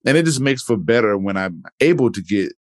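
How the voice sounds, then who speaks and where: A man hosting a podcast interview in relaxed, casual chat, rambling with many fillers and restarts. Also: on the low side, brisk, dry recording, studio quality. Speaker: podcast host.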